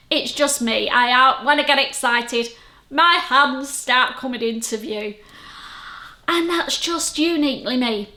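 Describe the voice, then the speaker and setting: A woman's voice: sits high, sharp tone. Chatty Lancashire woman in an echoey room. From Lancashire, England. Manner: friendly, rambling, self-deprecating. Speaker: sewing vlogger. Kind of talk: chatty vlog to camera. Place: at home. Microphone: camera-mounted microphone. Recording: echoey room, camera mic.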